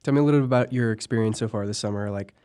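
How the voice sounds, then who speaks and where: Young male podcast host, slightly hoarse. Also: on the low side, very fast, dark tone. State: hoarse from a cold. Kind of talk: casual podcast chat. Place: campus podcast studio.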